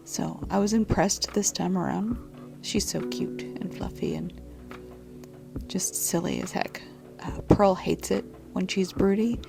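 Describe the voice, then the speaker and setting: A woman with a scratchy, congested-sounding throat, narrating a journaling video in a soft, half-whispered voice. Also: mid-range voice, measured pace, gravelly. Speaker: journaling vlogger. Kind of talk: soft-spoken voice-over narration. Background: lo-fi music.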